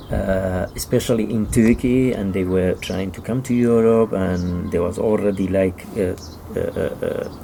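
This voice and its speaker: A middle-aged man with a Kurdish accent answers interview questions, often halting to find his words. His voice is low and gravelly, with slight noise behind it. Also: mellow. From Iraqi Kurdistan. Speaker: author and publisher, interview guest.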